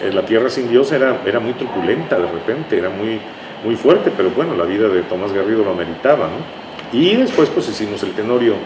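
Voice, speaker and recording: A man's voice: lowish voice, lively intonation, brisk. A man with a Mexican accent speaking as an interview guest, telling anecdotes conversationally and often ending with tag questions. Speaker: interview guest, writer recalling his radio work. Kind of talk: conversational reminiscing. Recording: echoey room, noisy ambient hum.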